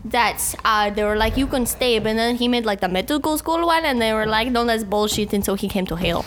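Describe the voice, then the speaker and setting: A woman's voice: fairly high pitch, slight background noise, natural voice. A woman voicing a huge, proud, dim-witted foreign man who speaks in broken English with a put-on accent. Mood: boastful. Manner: boastful, drops articles, slow emphatic delivery. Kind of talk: improvised comedy podcast.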